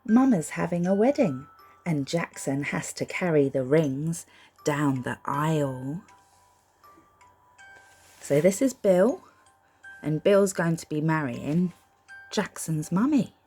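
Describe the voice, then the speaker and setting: Animated woman reading a children's storybook. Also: fairly low pitch, slow, pauses often, slightly rough, soft background music. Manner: gentle, reassuring, questioning as if to small children. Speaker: storybook reader for young children. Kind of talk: expressive picture-book read-aloud with asides to child listeners.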